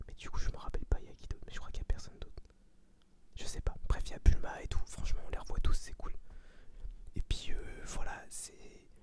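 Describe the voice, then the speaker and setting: A young man giving a casual ASMR film review in a breathy whisper, slangy and often searching for words. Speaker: ASMR video creator.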